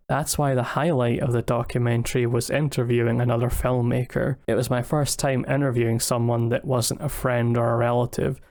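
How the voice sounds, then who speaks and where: A young man giving a scripted, reflective voice-over about his own work in a measured, candid way. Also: mid-range voice, darkish tone. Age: young adult. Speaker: student filmmaker narrating own video. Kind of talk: scripted retrospective voice-over narration.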